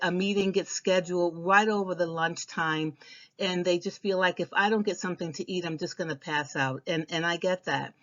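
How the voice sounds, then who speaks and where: A woman's voice: fairly low pitch, a bit bright. A woman giving an informal instructional presentation in a conversational way, repeating advice for emphasis. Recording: dull, lo-fi voice recording.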